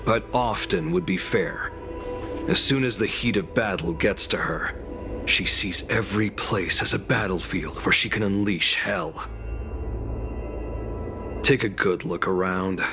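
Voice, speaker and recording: Deep voiced stern game officer, dry and fed up, music behind him. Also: male voice, measured pace, pauses often. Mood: cool, grim. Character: weary, stern male military investigator hunting fugitives. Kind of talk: video game voice acting.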